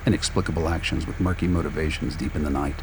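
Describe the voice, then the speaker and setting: A man's voice: extremely low pitch, fairly even intonation, fast talker. A man reading scripted radio play narration in a wry, sardonic manner. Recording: faint background noise.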